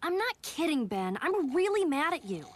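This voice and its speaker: A woman playing a sincere, frank teenage girl heroine in an animated cartoon. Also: high voice, moderate pace. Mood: annoyed, scolding.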